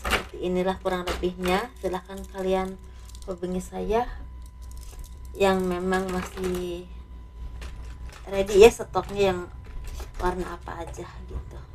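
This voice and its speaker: Soft-spoken woman tarot reader, slightly hoarse. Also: on the low side, flat delivery, unhurried, pauses often, a little hiss or hum. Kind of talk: casual tarot reading and product selling.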